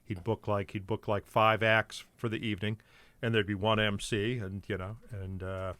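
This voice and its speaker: An older man with a lowish voice tells long, rambling stories in a relaxed podcast chat. He keeps restarting his sentences and padding them with fillers. The room sounds dry and close to the microphone. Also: normal speed, clean tone, no room sound. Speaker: guest comedian.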